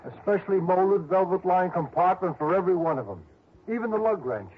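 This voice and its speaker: An actor playing a chatty, eager, folksy police sergeant in a 1960s radio drama. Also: male voice, high voice, lively intonation, fairly quick, husky. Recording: dull, faintly noisy old radio recording.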